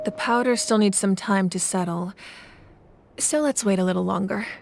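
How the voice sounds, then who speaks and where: A woman playing a calm, thoughtful collector. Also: mid-range voice, gravelly, close to an everyday voice. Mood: calm, reflective. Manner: measured, reflective storytelling with soft laughs. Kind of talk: video game cutscene dialogue. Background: game music.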